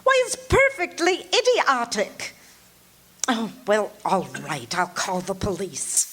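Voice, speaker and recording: A woman's voice: medium pitch, huge pitch swings, slow pace, a bit bright, husky, heavily acted. An actress playing a fretful, imperious bedridden wife in a live radio-theatre show, indignant and fussy, stumbling over her words. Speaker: lead actor in a radio play. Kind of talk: live radio-theatre acting before an audience.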